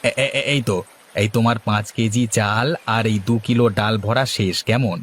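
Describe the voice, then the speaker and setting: A man voicing an obliging, calm village shopkeeper in a cartoon, accommodating and slightly halting. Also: lowish voice, slight background noise, voice pushed down, close to an everyday voice. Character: obliging village grocer, male, calm and busy. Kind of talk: Bengali cartoon dialogue.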